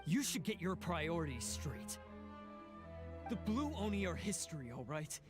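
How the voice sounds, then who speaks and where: Grim bitter oni thief, stern and tired, game music going. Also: male voice, on the high side, big pitch swings, measured pace. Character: grim blue oni bandit, bitter and proud. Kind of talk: studio game voice acting. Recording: game audio with clear background music.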